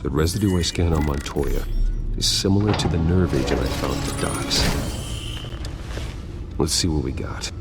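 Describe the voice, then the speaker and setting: An actor playing a grim, determined wealthy crime-fighter in a video game, terse and serious. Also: male voice, very deep voice, moderate pace, pauses often. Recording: loud music under the voice.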